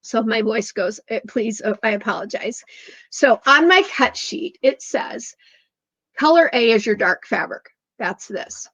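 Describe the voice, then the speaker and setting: A woman's voice: medium pitch, gravelly, fairly bright tone, dry recording. A middle-aged woman with a slightly scratchy voice, chatting her way through a quilting lesson and correcting herself as she goes. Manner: chatty, digressive, self-correcting. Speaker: quilting instructor. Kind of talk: chatty sewing tutorial. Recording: dull lo-fi dry sound.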